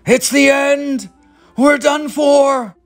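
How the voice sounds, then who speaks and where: A storybook reader voicing an excitable, panicky old grandfather who exclaims and shouts. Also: high voice, drawn-out words, soft background music, pitched above the performer's own voice, heavily acted. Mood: alarmed.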